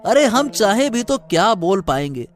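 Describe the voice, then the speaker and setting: A man playing a cautious village labourer who explains and advises emphatically in a Hindi animated moral story. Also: sits high, normal speed, faint music behind. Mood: cautious, advising.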